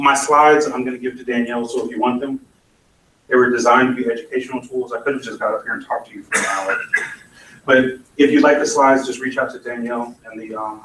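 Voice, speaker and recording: A man's voice: medium pitch, pushed and bright. A man giving a blunt, opinionated conference talk with dry asides. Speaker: conference speaker. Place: conference hall. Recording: echoey hall, mic from far off, faint noise.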